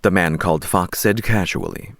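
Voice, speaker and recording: A man narrates a story as an audiobook reader. His voice is low and creaky, with highly animated intonation. The recording is close and dry. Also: normal speed, dry recording.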